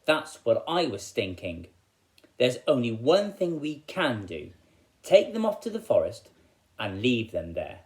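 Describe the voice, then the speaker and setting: A man acts out a scheming storybook mother with a hard heart. The delivery is bossy and scolding, with lively jumps in pitch. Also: a little slow, poor audio. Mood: cold scheming.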